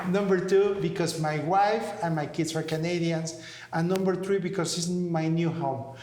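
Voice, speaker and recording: A man's voice: on the high side, steady tone, a little slow, a little mellow. A middle-aged man with a Mexican Spanish accent, co-hosting an interview show and speaking earnestly while searching for words in his second language. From Mexico City. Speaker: co-host. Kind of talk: conversational interview talk. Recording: echoey room.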